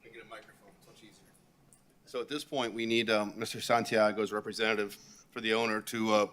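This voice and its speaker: A man's voice: fairly low pitch, level tone, brisk, fairly bright tone. A man advising a city board on procedure, precise and repeating terms to get them right. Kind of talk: procedural explanation. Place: city board meeting room.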